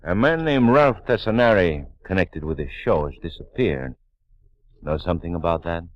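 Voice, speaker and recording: An actor playing a sarcastic police lieutenant in a 1940s radio drama, dry and needling. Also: male voice, low voice, animated, normal speed, no echo. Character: gruff, sarcastic middle-aged police lieutenant. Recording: dull old radio transcription.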